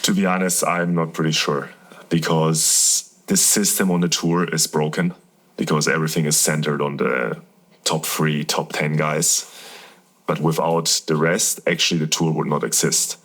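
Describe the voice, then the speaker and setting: A man's voice: very deep voice, level tone, moderate pace, a bit bright. A man from Germany in his thirties, a professional tennis player talking candidly and at length about his career as a guest in a casual interview. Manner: earnest, candid, rambling storytelling.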